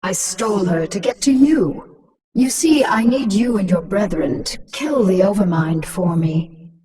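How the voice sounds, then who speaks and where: A woman's voice: mid-range voice, mellow, distant mic, lightly acted. Smug, ruthless alien queen played by a woman. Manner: smug, mocking, deliberate. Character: ruthless alien hive queen, cunning, gloating, smug and taunting. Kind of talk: dramatic sci-fi game voice acting.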